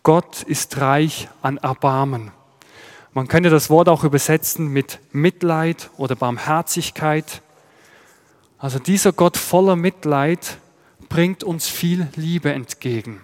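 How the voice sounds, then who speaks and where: A man with a Swiss German accent, preaching a sermon in a measured, explanatory way and posing rhetorical questions. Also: fairly high pitch, long reverb tail. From Switzerland. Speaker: pastor. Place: church.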